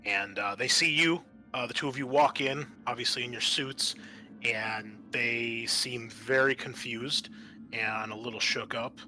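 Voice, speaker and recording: A man narrating an improvised tabletop game as game master, halting often mid-sentence. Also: mid-range voice, pushed and bright, soft background music.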